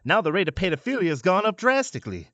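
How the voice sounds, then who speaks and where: An actor playing a sanctimonious older parliamentarian in an audio drama, preachy and disapproving. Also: male voice, high voice, sing-song, fast talker, pushed and bright, no echo, highs cut off, heavily acted.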